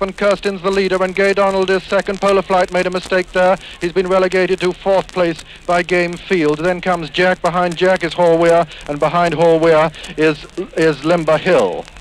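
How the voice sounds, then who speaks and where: A British man giving formal, precise live horse race commentary in a 1950s broadcast style. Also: high voice. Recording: noisy 1950s 78 rpm record.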